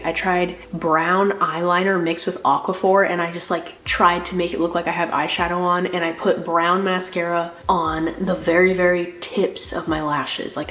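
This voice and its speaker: A woman's voice: fairly low pitch, fairly bright tone, echoey room. A young woman vlogging in a casual, rambling way, full of "like" fillers, sounding nasal from a cold. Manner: rambling, lots of "like" fillers, apologetic. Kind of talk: casual chatty vlog.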